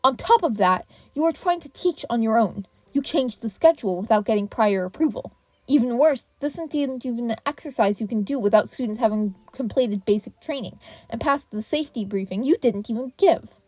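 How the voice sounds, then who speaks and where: A woman voicing an indignant male teacher in a story reading, sharp and commanding as he lectures a colleague. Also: fairly high pitch, expressive, fairly quick, no echo. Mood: fuming.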